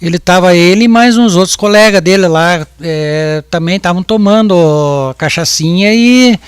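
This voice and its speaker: A man's voice: high-pitched, moderate pace, clear, resonant tone, no echo, clean studio sound. A man in his fifties giving testimony as a witness at a jury trial, hesitant and full of restarts and repeated words. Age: 50s. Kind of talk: courtroom testimony.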